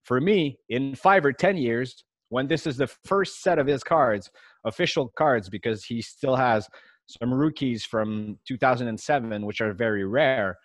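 A man's voice: mid-range voice, unhurried, no room sound. A man whose first language is French, giving casual market commentary as a guest investor and collector.

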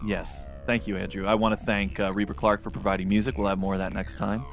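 A man's voice: lowish voice, level tone, fast, a little hiss or hum. A man co-hosting a podcast, chatting casually about literature. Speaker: podcast co-host.

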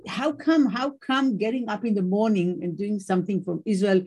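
Warm-voiced, effusive woman host. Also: mid-range voice, moderate pace, husky. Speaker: interviewer. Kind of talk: interview conversation.

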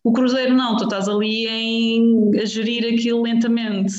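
A woman's voice: a little high, level tone, moderate pace, darkish tone, roomy sound, lo-fi sound. A woman journalist with a European Portuguese accent, chatting in a radio interview, rambling and hesitant with many restarts. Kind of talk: casual radio interview chat.

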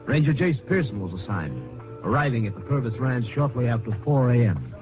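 A man's voice: mid-range voice, very animated, a little fast, phone-quality sound. A man reading scripted narration as the announcer of an early 1950s radio drama. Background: music.

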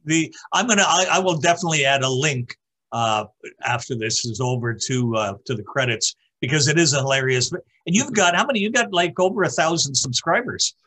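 A man from Ontario hosting a casual interview over a video call, chatty and quick to laugh. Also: on the high side, animated, pushed and bright, low-quality recording. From Ontario, Canada. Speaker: talk show host.

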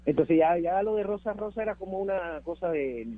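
A man's voice: high voice, fairly quick, phone-quality sound. A man with a Cuban accent reminiscing as an interview guest, emphatic and repeating words for effect. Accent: Cuban Spanish. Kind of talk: reminiscing conversation.

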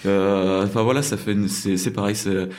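Low-voiced man telling stories casually. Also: steady tone, normal speed, a little mellow. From France. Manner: rambling, many fillers and restarts. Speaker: crêperie owner in South Korea. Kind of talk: casual interview storytelling.

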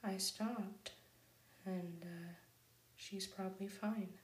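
A woman's voice: mid-range voice, steady tone, slow pace, roomy sound, natural voice. A woman whispering the lines of a nervous male animal control worker in a cartoon story. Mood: flustered.

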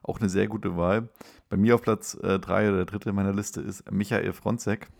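A man chatting casually as co-host of a football podcast, in a halting way with self-corrections. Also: lowish voice, fairly even intonation, gravelly, darkish tone. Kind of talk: casual conversational football discussion.

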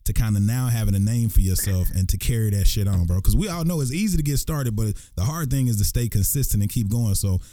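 A man hosting a podcast, talking in a relaxed, slangy way. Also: on the low side, fast talker, few pauses, no echo, clean studio sound. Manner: relaxed, slangy, laughs easily. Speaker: podcast host. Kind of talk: casual podcast chat.

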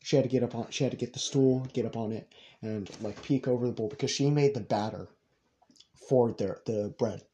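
Young man, mellow voice, talking emphatically. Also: mid-range voice, fairly quick. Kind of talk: casual group conversation.

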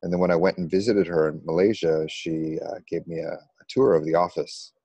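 Very deep, even-toned American man. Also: a little fast, gravelly, husky, no echo, dull audio. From United States. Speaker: interview guest, meditation coach. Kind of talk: conversational personal storytelling.

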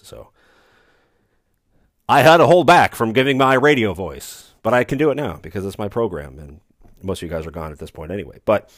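A man with a very low, soothing voice hosts a casual solo podcast, drifting from one aside to the next with dry, self-mocking humor. His speech is relaxed and meandering. The sound is close and dry, with almost no room around it. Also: no echo. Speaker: podcast host. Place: recording remote, away from studio.

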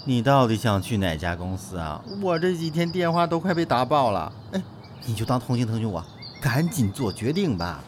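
Animated, chatty male talent agent acted by man. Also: mid-range voice, normal speed, slight background noise, close to an everyday voice. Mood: teasing, exasperated. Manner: colloquial, animated, wheedling. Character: exasperated, chatty, devoted male celebrity agent. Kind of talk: audio drama dialogue.

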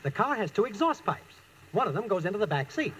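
An actor playing a genially pompous, broke small-time schemer in an old radio sitcom, with mock-formal patter. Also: male voice, high-pitched, sing-song, quick pace. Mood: breezy, scheming. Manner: smooth-talking, mock-formal. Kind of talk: 1940s-50s radio sitcom acting. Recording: old radio recording with faint noise.

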